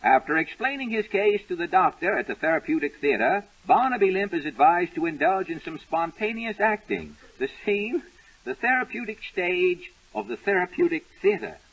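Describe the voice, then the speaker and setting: Booming 1930s radio announcer man. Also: very animated, moderate pace, fairly bright tone, breathy edge. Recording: muffled, noisy 1930s radio broadcast.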